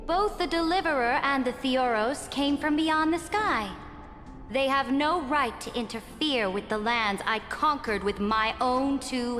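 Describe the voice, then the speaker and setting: A proud, calculating empress from a video game. She speaks very slowly and regally in a fairly high voice, with long hall reverb and music underneath. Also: pushed and bright, full character voice. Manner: regal, deliberate, commanding. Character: imperious, ambitious female emperor, proud and calculating. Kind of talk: scripted video game voice acting.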